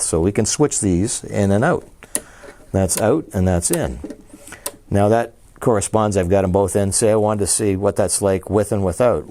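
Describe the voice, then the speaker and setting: A man with a low, warm and mellow voice presents a piece of audio equipment in a casual demo. He explains each switch and feature as he goes, with an easy, enthusiastic manner. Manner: enthusiastic, explains features while handling gear. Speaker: audio dealer presenting a product.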